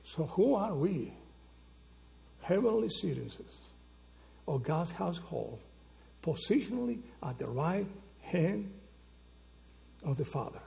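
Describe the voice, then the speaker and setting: A male preacher with a gravelly, creaky edge to his voice. He sits slightly high for a man and works through his points with rhetorical questions, checking in with the congregation again and again. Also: big pitch swings, slow pace, pauses often, warm tone. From Argentina. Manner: rhetorical questions, frequent "okay?" and "right?" check-ins. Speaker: pastor. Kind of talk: sermon.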